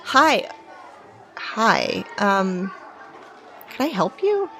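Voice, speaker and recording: A woman playing a guarded, unimpressed minder of kids in an audio drama, wry, reluctant and halting. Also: mid-range voice, very animated, background noise, full character voice.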